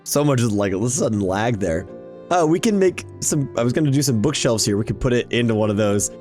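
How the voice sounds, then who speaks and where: Guy doing chatty excited gaming commentary, rambles a bit, faint music under it. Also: medium pitch, a little fast. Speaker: video game YouTuber, host. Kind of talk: casual gameplay commentary. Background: background music.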